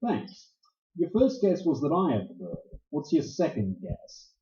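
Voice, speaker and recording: A man's voice: on the high side, huge pitch swings, a little slow, dark tone. A man playing a wry, terse, hard-boiled private detective in an old-style radio play. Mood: wry, probing. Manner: casual, terse, sardonic. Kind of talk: 1940s detective radio play acting with first-person narration. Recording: dull, roomy stage broadcast sound.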